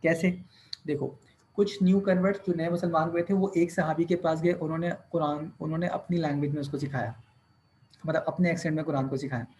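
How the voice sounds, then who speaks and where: Higher-voiced male teacher dictating notes. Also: steady tone, darkish tone, slight background noise. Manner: mixes English dictation with Hindi-Urdu explanation, slow and deliberate when dictating. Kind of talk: classroom lecture, dictating notes. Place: classroom.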